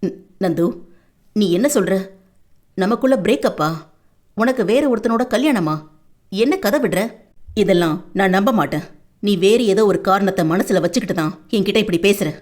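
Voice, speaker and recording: An anxious young man pleads with his beloved, his voice dropped lower and earnest, as a woman narrator puts him on. He presses her gently for answers, caught between worry and devotion, in a storybook reading. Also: lively intonation, normal speed, pauses often, lightly acted. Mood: pleading, anxious.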